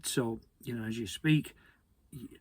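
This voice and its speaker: A British man giving an informal technical explainer in a conversational, hesitant way, often repeating words, with a husky, gravelly voice. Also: medium pitch, a little slow, no echo. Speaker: hobby radio presenter.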